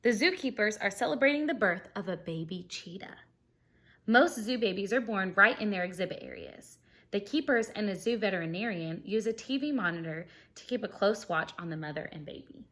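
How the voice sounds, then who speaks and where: A woman's voice: medium pitch, expressive, fairly quick, a bit bright. A woman teacher reading a children's picture book aloud expressively, explaining things simply and putting questions to her young listeners.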